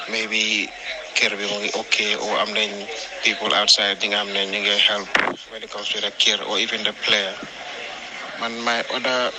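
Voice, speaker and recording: A man giving his opinion casually in a group discussion, pausing often as he thinks. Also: on the low side. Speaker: discussion participant. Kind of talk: casual spoken opinion on a topic. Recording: clearly noisy background.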